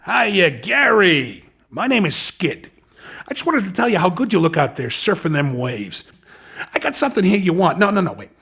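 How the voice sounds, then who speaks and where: A cocky hustler from a racing video game, recruiting with slangy, coaxing patter. His voice is raspy and a little high, and it jumps around in pitch as he sells. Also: big pitch swings, moderate pace, fairly bright tone, exaggerated voice. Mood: coaxing, slick. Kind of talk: video game character dialogue.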